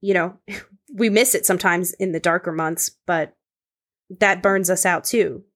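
A woman co-hosting a casual podcast chat, relaxed and conversational, peppering her talk with "like" and light laughs. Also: lowish voice, a little slow. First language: not English. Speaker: podcast co-host.

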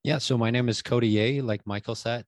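A man, a guest telling his story in a casual interview, loose and halting with many fillers. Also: mid-range voice, steady tone, dry recording, studio quality. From Taiwan. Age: 30s. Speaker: guest, stock options coach.

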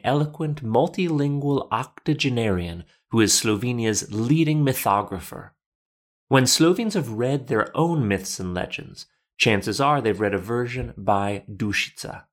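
A man hosting a podcast, reading scripted documentary narration in a measured, literate, explanatory way. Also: mid-range voice, lively intonation.

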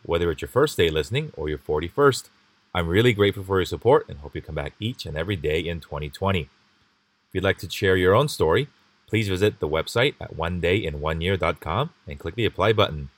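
Deep-voiced podcast host, dry sound. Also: male voice, animated, dry recording. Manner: polite, measured and grateful. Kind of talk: scripted podcast intro and interview.

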